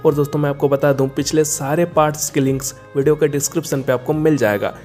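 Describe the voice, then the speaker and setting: A man's voice: a little high, steady tone, fast talker, a little mellow. A man narrating a video introduction as the channel's host, addressing viewers directly. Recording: music under the voice. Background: background music.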